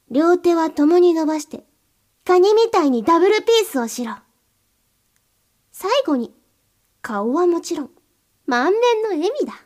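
A woman voices a cold, domineering mistress in an erotic audio drama, her voice pitched very high. She speaks in curt, contemptuous commands and addresses the listener directly as her slave. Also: darkish tone.

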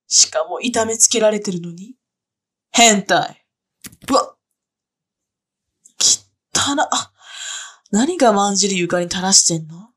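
A woman's voice: mid-range voice, fairly bright tone, breathy edge. A woman playing a haughty, contemptuous young dominatrix who speaks in a bossy, scornful voice as she gives commands in an adult audio drama.

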